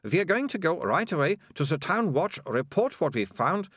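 A bossy young girl chattering away in a high, bright voice, as a male storyteller puts her on. She sounds brisk and completely sure of herself, and the recording is dry and close. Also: sing-song, breathy edge, no echo, heavily acted. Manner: brisk, self-assured, chattering.

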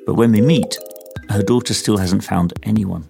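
A man with a low, deep voice reads scripted documentary narration at an even, measured pace. Soft music sits faintly underneath him. His delivery is steady and considered throughout. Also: creaky. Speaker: podcast narrator.

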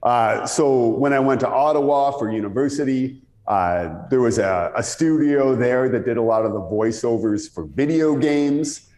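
A man's voice: mid-range voice, moderate pace, few pauses, darkish tone. A middle-aged Canadian man with a radio-style voice, telling conversational anecdotes as an interview guest. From Ontario, Canada. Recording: echoey room with long reverb.

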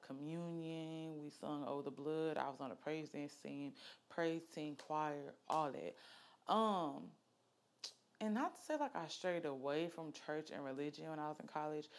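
A woman, hosting a podcast in a candid, chatty way with lots of fillers. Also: low-pitched. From Alabama, US. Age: late 20s. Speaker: podcast host. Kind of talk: casual solo podcast chat.

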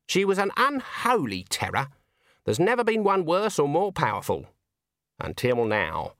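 A man reading aloud and voicing a stern, shrewd old country witch who speaks in a dry, knowing, quietly menacing way. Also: a little high, no echo.

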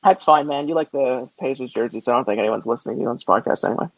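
A man's voice: mid-range voice, racing, creaky, slightly rough, dry recording. A man co-hosting a casual sports podcast with laid-back, teasing banter, on slightly dull, lo-fi audio. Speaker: podcast co-host.

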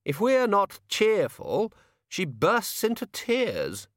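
A male narrator performs a peevish academic wizard from a comic storybook. His fussy, querulous voice sits high and swoops about. The sound is close and dry. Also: huge pitch swings, takes it slowly, a bit bright, dry recording, full character voice. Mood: plaintive. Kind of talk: audiobook character acting.

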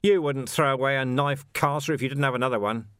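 A man's voice: mid-range voice, fast, a bit bright, clean tone, no echo, studio quality, pitched above the performer's own voice, close to an everyday voice. Man doing a growling, curt police commander. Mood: stern. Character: tough, weary male police commander. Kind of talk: audiobook character voice.

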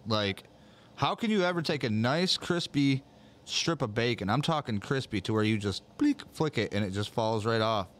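A man's voice: medium pitch, lively intonation, normal speed, fairly bright tone. A young man from Michigan hosting a casual podcast chat, laid-back, swearing easily and trailing off with "um" while he reads live comments.

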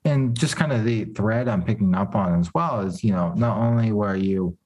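A man hosting an interview, asking gentle, probing questions and often leaning on "you know" as he thinks aloud. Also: fairly low pitch, moderate pace, husky. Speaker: podcast host.

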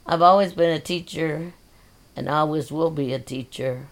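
A middle-aged woman, a retired teacher, chatting casually as a guest and speaking haltingly with many fillers and restarts. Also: low-pitched. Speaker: guest, mother of the host. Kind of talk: casual family chat.

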